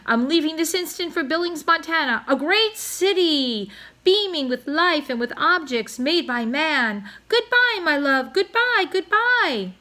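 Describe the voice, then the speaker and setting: A woman's voice: high-pitched, expressive, unhurried, sharp tone, pitched above the performer's own voice, exaggerated voice. A woman voicing a pompous, passionate father swan in a storybook read-aloud, declaiming in grand, florid style. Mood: urgent, exuberant.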